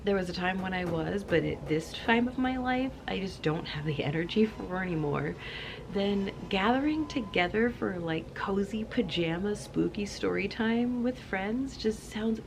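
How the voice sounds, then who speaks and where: A woman's voice: medium pitch, animated, a little slow, vocal fry, background noise. A woman host chatting playfully to camera with cozy enthusiasm, her voice a little off because she is coming down sick, sometimes dropping into a spooky whisper. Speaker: channel host. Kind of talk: cozy casual chat to camera.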